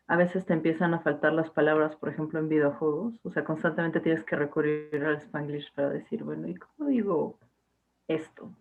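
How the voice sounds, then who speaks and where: A young woman teacher, talking to her class casually, with fillers and restarts over a video call. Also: deep voice. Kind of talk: casual online class talk. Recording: tinny phone-like line.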